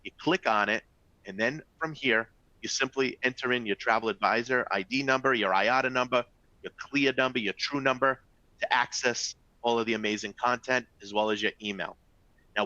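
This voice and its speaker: A man's voice: medium pitch, normal speed, sharp tone, dry recording, lo-fi sound. A middle-aged man with a Boston accent presenting a training webinar, chatty and upbeat, often checking in with "all right?" and "folks". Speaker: travel company executive presenting a webinar.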